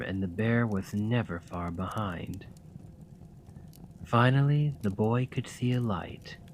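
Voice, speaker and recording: Soft, slow-reading man. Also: fairly low pitch, a little hiss or hum, rough recording. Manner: soft, gentle, soothing reading. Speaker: ASMR roleplay partner reading a story. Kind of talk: ASMR roleplay storytelling.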